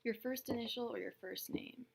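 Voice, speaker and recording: A woman's voice: a little high, gravelly, husky. A young woman hosting a tarot livestream, chatting casually, greeting viewers by name and laughing often. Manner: says she talks fast.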